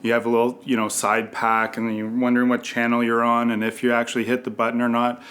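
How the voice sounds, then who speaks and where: A man's voice: medium pitch, completely flat delivery, quick pace, fairly bright tone. A man from a film crew giving a casual, unscripted interview testimonial.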